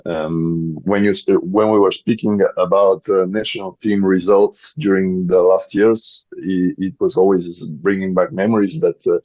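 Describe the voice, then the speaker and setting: A low, dark-toned man in his thirties with a Bulgarian accent speaks English carefully, stopping often to find the right word. The sound is dry and slightly dull, as from a modest recording setup. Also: fairly even intonation, no room sound. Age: 30s. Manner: searches for English words, many pauses. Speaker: guest, volleyball player. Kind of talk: podcast interview.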